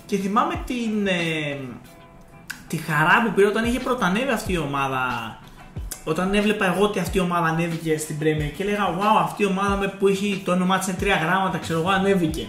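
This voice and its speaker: A man's voice: high voice, fairly bright tone. A man co-hosting a casual football podcast, chatty and reminiscing with joking asides. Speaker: podcast co-host. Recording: echoey room with faint music.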